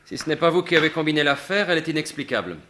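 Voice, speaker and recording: An actor playing a suave, mocking gentleman thief in a 1950s-style French radio mystery, with a sardonic, assured, theatrical delivery. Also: fairly high pitch, expressive, rapid-fire, a little hiss or hum.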